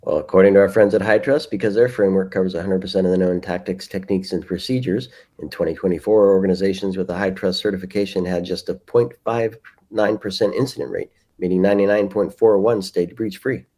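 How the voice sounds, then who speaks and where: A man reads scripted sponsor copy at a rapid pace. His voice sits very low and has a soft, dark, mellow tone. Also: fairly even intonation. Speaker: sponsor message presenter. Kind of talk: scripted two-person ad read.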